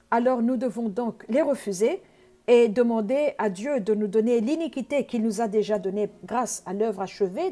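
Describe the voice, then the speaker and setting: Earnest woman preacher, mellow voice. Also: on the high side. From Congo. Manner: earnest teaching tone, frequent hesitations. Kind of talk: sermon.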